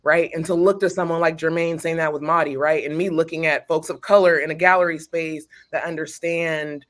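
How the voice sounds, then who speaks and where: A woman's voice: sits low, fairly even intonation, brisk. A woman moderating a panel in a conversational way, often checking in with "right?". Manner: seeks agreement with frequent "right?".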